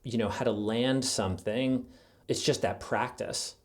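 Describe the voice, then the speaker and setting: Thoughtful middle-aged man, medium-pitched, in conversation. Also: fairly even intonation, unhurried. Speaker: podcast guest, journalist and writer. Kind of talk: casual, reflective interview chat.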